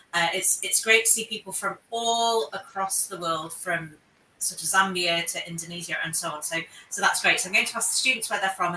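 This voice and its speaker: A woman's voice: mid-range voice, sharp tone, a little hiss or hum. A woman moderating a live panel Q&A in a chatty, encouraging way, often repeating her words. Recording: echoey room, distant mic.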